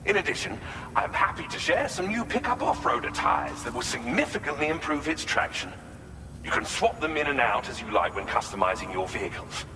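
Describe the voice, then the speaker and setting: A man's voice: mid-range voice, big pitch swings, fairly quick, big-room sound, over music, lightly acted. An actor playing a courteous, eager male researcher in a video game, speaking in formal, articulate phrases. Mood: eager, grateful. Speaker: researcher character. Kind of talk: video game cutscene dialogue.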